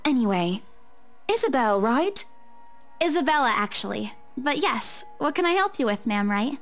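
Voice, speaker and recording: A woman's voice: high voice, big pitch swings, normal speed, faint music behind, pitched above the performer's own voice. A woman voicing a polite young female real estate agent in a visual novel, deferential and hesitant, tending to stammer.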